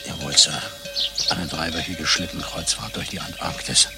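Secret agent, radio satire: low creaky voice, obedient and straight-faced, formal report delivery. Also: male voice, steady tone, moderate pace. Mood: deadpan, dutiful. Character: dutiful deadpan male secret agent. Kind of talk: satirical German radio play acting. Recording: loud music under the voice.

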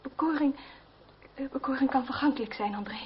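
A woman's voice: high voice, a little fast, lightly acted. An actress playing a young noblewoman in a radio play, impulsive and tender, with an emotional, hesitant manner. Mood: earnest. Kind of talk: Dutch radio play acting. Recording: dull, very noisy old radio recording.

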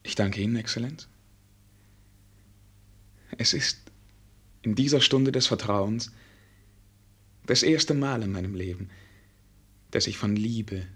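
An actor playing a brooding, self-doubting prince in a German radio play, husky, reflective and stiffly formal. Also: male voice, medium pitch.

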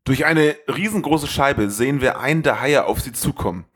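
A man's voice: medium pitch, lively intonation, normal speed, fairly bright tone, slightly rough. A man hosting a podcast, reading a scripted film summary as a narrator. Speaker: podcast host.

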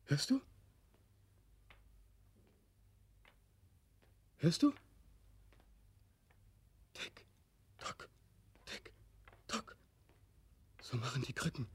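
Shattered German soldier, high strained voice, husky, bitter and despairing, 1940s radio drama. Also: male voice, a little mellow, full character voice. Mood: dazed, oppressed. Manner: intense, brooding, swinging between whispers and outcries. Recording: 1940s radio play recording.

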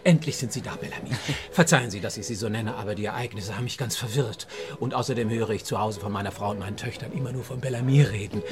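An actor playing a nervous, effusive newspaper boss in a German radio play, courteous but demanding and emphatic. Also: male voice, lowish voice, fast talker, natural voice. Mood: flustered, urgent.

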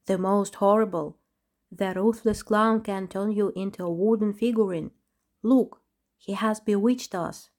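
A woman's voice: mid-range voice, steady tone, measured pace, darkish tone, lightly acted. A woman voicing a frightened little wooden doll in a storybook, urgently warning.

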